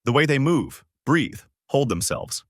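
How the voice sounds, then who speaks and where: A man's voice: mid-range voice, very animated, a little slow, no echo, clean studio sound, pitched above the performer's own voice. A man narrating a dramatic story, voicing a thoughtful child psychologist who explains how children see. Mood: explaining. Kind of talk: narrator reading story dialogue.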